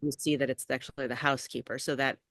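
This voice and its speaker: A middle-aged woman with a bright, forceful voice talks loosely about her craft as an interview guest. She rambles and fills her sentences with "like" and "you know". The sound is dry and close, with no room around it. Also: a bass voice, little pitch movement, fast, dry recording. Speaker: actor and acting coach, guest. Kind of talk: casual interview talk.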